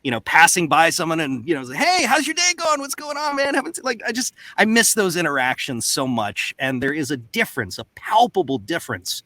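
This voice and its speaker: A man's voice: a little high, brisk, few pauses, dry recording. A man chatting casually and expressively as a voice actor on a panel. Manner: animated, expressive. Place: home recording booth, on a video call. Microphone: close studio microphone.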